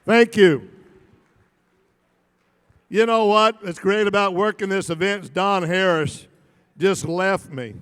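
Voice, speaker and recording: Auctioneer guy chanting bids nonstop, kinda high clean voice, big echoey banquet hall. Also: fairly bright tone, smooth. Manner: rapid rhythmic bid-calling, joking banter with the crowd. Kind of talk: live charity auction chant. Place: large banquet hall at a gala. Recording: long reverb in a big hall.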